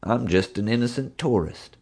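A man voicing a cocky, smug male suspect who answers in a deadpan way. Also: medium pitch, moderate pace, breathy edge, voice pushed up.

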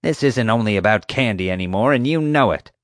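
A man reading an audiobook, voicing a loud, bossy adult woman security guard who barks and growls her commands. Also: fairly low pitch, animated, fairly quick, dry recording, studio quality, voice pushed up. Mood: angry, bossy.

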